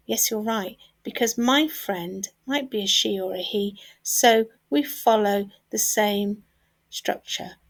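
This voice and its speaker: A woman's voice: on the high side, a bit bright. A woman English teacher giving a grammar lesson to learners in a careful, instructional manner, stressing key words.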